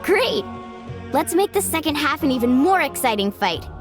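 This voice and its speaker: Young female game character: very high, cheerful, chatty, lots of melodic movement. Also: moderate pace. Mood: upbeat, playful. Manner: bubbly, expressive. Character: cheerful, chatty young shopkeepers' daughter, eager and playful. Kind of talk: video game voice acting. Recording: light music behind.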